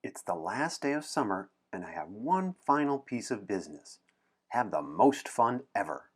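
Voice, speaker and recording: A man's voice: on the low side, big pitch swings, normal speed, breathy edge. A man voicing a serious, bookish little boy from a picture book, with a formal, precise and exasperated manner. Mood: prim, businesslike.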